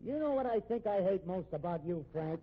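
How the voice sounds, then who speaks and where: High-pitched, husky cornered tough guy. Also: animated, brisk. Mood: bitter sneering. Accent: American. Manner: slangy, sneering, threatening. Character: cornered fugitive killer, tough, bitter, working-class brother. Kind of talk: 1950s radio drama acting. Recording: muffled, tinny old radio recording.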